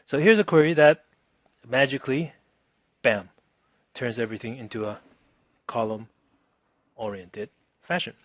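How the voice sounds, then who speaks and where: A man teaching a casual software demonstration, informal and chatty, often checking in with the listener, with a husky voice. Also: medium pitch, expressive, unhurried, pauses often, dry recording. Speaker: instructor.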